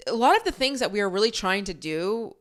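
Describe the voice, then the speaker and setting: Young woman podcaster, smooth clear voice, frank and casual, swears a bit, pep talk vibe. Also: mid-range voice, sing-song, brisk. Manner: candid, casual, swears freely, rhetorical questions. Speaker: podcast host. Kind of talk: solo motivational pep talk.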